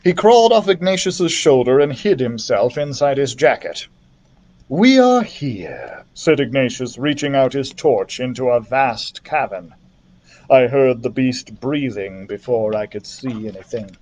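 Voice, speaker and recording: A man reading a children's adventure novel aloud as a library storyteller, in an expressive narrative style. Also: medium pitch, normal speed.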